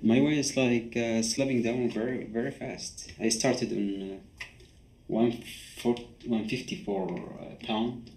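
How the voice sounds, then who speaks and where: A man in his thirties giving an interview in a small apartment, speaking haltingly and searching for words. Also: medium pitch, steady tone, a little mellow, breathy edge. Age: 30s. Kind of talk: emotional interview.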